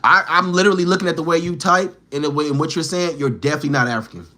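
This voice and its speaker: A man's voice: a little high, very fast, bright, forceful tone. A man livestreaming, bantering with and teasing his chat in slangy street talk, firing challenging questions.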